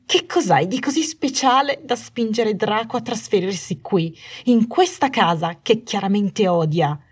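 A woman's voice: medium pitch, animated, a little slow, slightly rough, voice pushed up. A woman narrator voicing a bitter young wife in an audiobook story, menacing and manic with jealousy, snarling and trembling with cold fury. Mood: accusing, furious. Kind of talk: dramatised audiobook dialogue.

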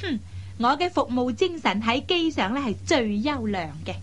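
A woman's voice: high-pitched, very animated, normal speed. A woman playing a playful, headstrong young air hostess in a Cantonese radio drama, pouty and teasing. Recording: faint background noise.

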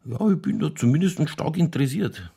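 An actor playing a stubborn, provocative Bavarian police detective in a German radio crime drama, holding forth in colloquial dialect with a husky, gravelly voice. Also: male voice, on the high side, very animated, brisk, mellow, full character voice. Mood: pushy, colloquial.

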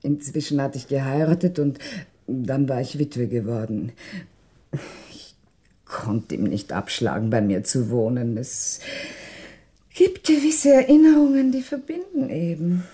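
A woman's voice: rumbling low, animated, measured pace, pauses often, mellow, slightly rough, heavily acted. An actress playing an anxious, talkative widowed landlady in a 1960s German radio crime drama, exclaiming dramatically. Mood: confiding.

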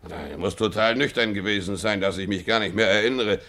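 An actor playing a drunken, rowdy sea captain who bawls sea chanteys and talks gruffly, in an old radio play. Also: male voice, on the low side, fast talker, fairly bright tone, lightly acted. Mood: bemused. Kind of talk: 1950s radio play acting.

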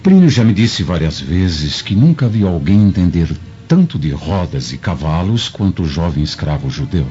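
A worried Roman patrician father in a radio drama. His voice is extremely low and mellow, with wide melodic swings. He weighs his words, and faint music sits under the muffled recording. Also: sing-song, normal speed, few pauses. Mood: concerned. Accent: Brazilian. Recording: dull lo-fi audio, faint music.

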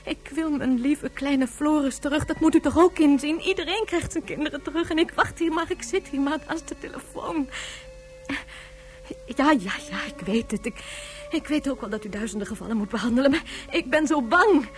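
High-voiced, anxious, pleading mother. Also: expressive, normal speed, soft background music. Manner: tearfully insistent, anxious. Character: frightened, pleading mother of a missing son. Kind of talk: radio drama acting.

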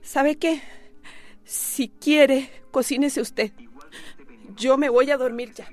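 A fed-up wife standing firm. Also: female voice, sits high, steady tone, takes it slowly, a little mellow. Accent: Costa Rican. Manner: firm, reproachful, formal address. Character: weary wife finally standing up to her husband. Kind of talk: radio drama acting.

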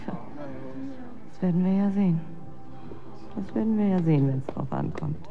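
A woman's voice: lowish voice, normal speed, creaky. An actress playing a weary, sardonic, despairing young woman in a radio drama, with blunt, dry retorts. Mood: bitter, cool. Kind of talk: German radio drama acting. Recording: dull lo-fi sound, faint music.

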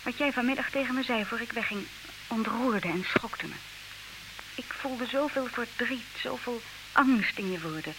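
Hissy old radio drama, actress doing a proud hurt wife, highish voice, measured and reproachful. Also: female voice, lightly acted. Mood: pained, wistful. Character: disillusioned, proud wife leaving her clever husband. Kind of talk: old radio play acting. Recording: hissy old radio recording, clear noise.

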